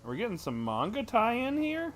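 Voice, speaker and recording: A man's voice: very high-pitched, wildly swooping pitch, normal speed, soft background music. A man livestreaming a video game, reacting and commenting casually as the story unfolds.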